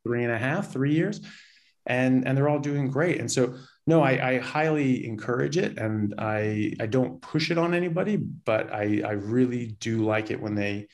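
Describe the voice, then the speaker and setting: A man in his forties, a doctor guest on a casual interview, talking over a video call from a small plain room and often repeating words as he starts a thought. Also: lowish voice. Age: 40s.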